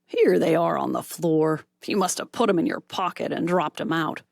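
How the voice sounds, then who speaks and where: A woman's voice: low-pitched, moderate pace, vocal fry, darkish tone, no echo, full character voice. A woman voicing a surly, drunken male robber who talks in blunt slang.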